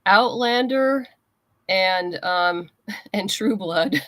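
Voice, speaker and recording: A woman guest in a casual interview, chatting in a halting way as she thinks aloud. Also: medium pitch, a bit bright, no echo. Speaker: interview guest, comics writer and creator.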